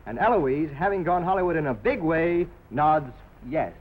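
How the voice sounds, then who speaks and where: High-pitched, animated 1930s newsreel man. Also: normal speed. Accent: American. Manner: jaunty, wisecracking. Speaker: newsreel narrator. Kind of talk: 1930s Hollywood newsreel commentary. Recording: dull, noisy 1930s newsreel soundtrack.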